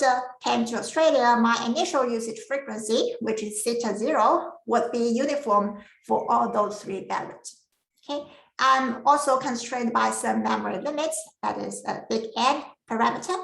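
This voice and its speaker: Creaky-voiced woman lecturing, non-native English speaker. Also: fairly high pitch, fairly bright tone, echoey room, poor audio. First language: not English. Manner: careful, explanatory, frequent "um" and "uh" pauses. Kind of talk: academic masterclass presentation.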